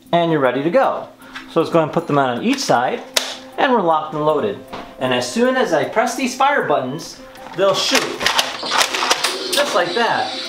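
A man's voice: a little high, noisy background. A man in his twenties hosting a toy unboxing and demonstration in an enthusiastic, casual way. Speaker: toy review host. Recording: roomy echo, music behind. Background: clear background music.

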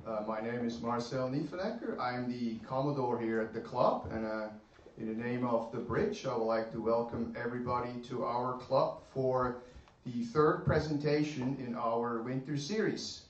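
An older man, the club's commodore, giving welcoming remarks in a plain, unhurried way with many pauses. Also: medium pitch. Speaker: yacht club commodore. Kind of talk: welcoming remarks at a club talk. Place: club meeting room with a seated audience. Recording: roomy, distant, dull room recording.

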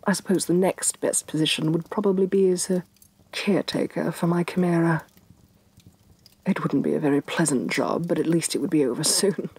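Evil fantasy queen played by a woman for ASMR roleplay: low-pitched, breathy and soft, haughty and menacing. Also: steady tone. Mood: threatening. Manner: imperious, deliberate, disdainful, sighing with exasperation.

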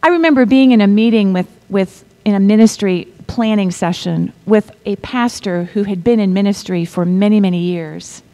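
A middle-aged woman preaching a sermon, reading scripture in a measured way and dropping to a whisper for effect. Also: mid-range voice. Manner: slow, deliberate delivery with pauses and soft whispered stretches; asks the congregation to repeat lines.

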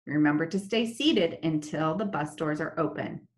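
A young woman teaching children in an online lesson, talking slowly and in a sing-song way over a laptop webcam. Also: low voice. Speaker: special education teacher. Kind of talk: online lesson with screen share. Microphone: laptop webcam, video call.

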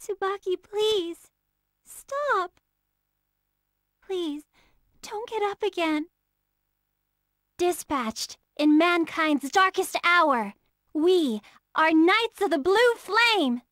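A woman's voice: extremely high pitch, lively intonation, extremely slow, long pauses, no room sound, studio quality, exaggerated voice. An actress playing an earnest, easily flustered young female military officer in emotional, dramatic video game battle lines. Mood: pleading, solemn. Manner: emotional, dramatic, swinging from polite pleas to shouts.

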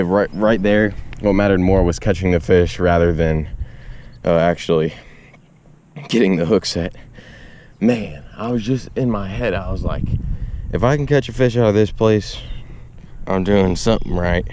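Young fishing vlogger, deep-voiced, excitable; self-filmed outdoors, faint background noise. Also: male voice. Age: young adult. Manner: excitable, slangy, addresses viewers as y'all. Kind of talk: casual self-filmed narration. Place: outdoors beside a suburban pond. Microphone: action camera on chest and at arm's length.

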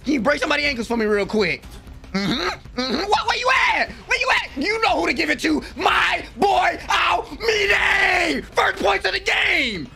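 A young adult man giving excited, slangy live reaction commentary as a host, full of hyped exclamations. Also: very high-pitched, a little slow, vocal fry, raised voice, music in the background. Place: small room at home, indoors. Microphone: desk microphone, close.

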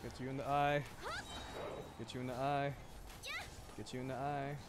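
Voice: mid-range voice, normal speed, noisy background. A streamer giving casual live gameplay commentary, talking to themselves and the game characters, repeating phrases as they react. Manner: animated, reactive. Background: game music.

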